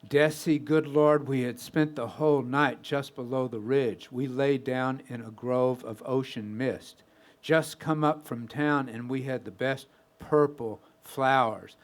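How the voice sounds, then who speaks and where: A man's voice: medium pitch, natural voice. A man telling a comic story as a weary, rambling deer with a folksy, conversational manner. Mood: easygoing, restless. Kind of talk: first-person comic storytelling.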